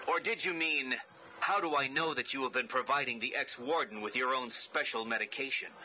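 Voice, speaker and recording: A sharp, smug, taunting genius villain. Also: male voice, mid-range voice, brisk, a little hiss or hum, low-quality recording. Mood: mocking. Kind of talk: video game voice acting.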